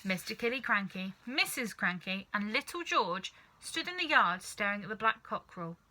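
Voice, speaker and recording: A woman reading a children's story aloud as narrator, with expressive scripted narration. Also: medium pitch, moderate pace. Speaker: storybook reader.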